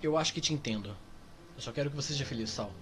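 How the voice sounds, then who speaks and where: A man's voice: medium pitch, rapid-fire, a bit bright, soft background music, lightly acted. A man reading a caring young woman friend.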